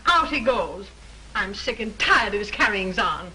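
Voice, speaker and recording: A woman's voice: mid-range voice, sing-song, a little slow, sharp tone, full character voice, low-quality recording. An actress playing a fussy, self-pitying landlady in a 1930s film, chattering in a complaining way. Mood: grumbling. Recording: hissy 1930s film soundtrack.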